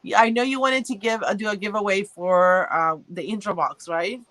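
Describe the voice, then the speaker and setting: A woman's voice: mid-range voice, moderate pace, fairly bright tone. Friendly woman hosting a live stream. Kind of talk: casual interview.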